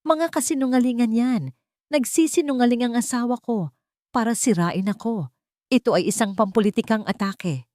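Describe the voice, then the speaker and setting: A woman voicing an arrogant, threatening male politician in an audio story, forceful and indignant, with a Filipino accent. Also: fairly high pitch, moderate pace, no room sound, studio quality, voice pushed up, natural voice. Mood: indignant denial. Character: arrogant, threatening male congressman husband, cornered and defiant.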